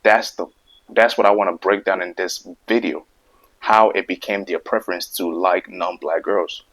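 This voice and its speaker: Low-voiced man who stutters. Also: fairly even intonation, moderate pace, fairly bright tone, low-quality recording. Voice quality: stutters, repeats words. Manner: emphatic, repeats points over and over. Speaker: video host. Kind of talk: casual opinion monologue to camera.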